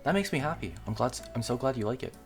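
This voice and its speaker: A man's voice: medium pitch, lively intonation, rapid-fire, soft background music, voice pushed up, natural voice. A man voicing a shy, earnest young demi-human girl who bakes and dreams of being an idol in a visual novel. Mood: eager, anxious.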